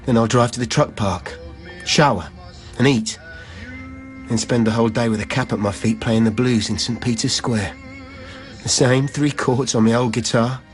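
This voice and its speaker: A man's voice: mid-range voice, close to an everyday voice. An actor playing an embittered, weary street busker who sleeps in his van, speaking resigned rhyming verse in a radio drama. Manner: resigned, matter-of-fact, rhyming. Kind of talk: poetic radio drama monologue.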